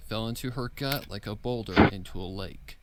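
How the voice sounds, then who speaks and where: A man's voice: lowish voice, moderate pace, very noisy. A man reading a horror fan fiction story aloud as dramatic scripted narration.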